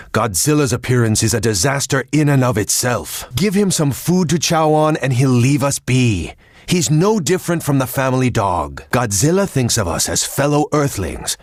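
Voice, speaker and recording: An earnest, swooping-voiced politician. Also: male voice, medium pitch, no echo, clean studio sound. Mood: regretful, resigned. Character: male political leader, naive, idealistic, folksy, earnest, growing exasperated. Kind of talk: video game voice acting.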